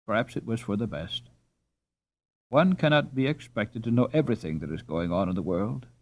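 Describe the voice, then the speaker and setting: A man narrating a children's storybook in a measured, expressive reading style. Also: fairly low pitch. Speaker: audiobook narrator.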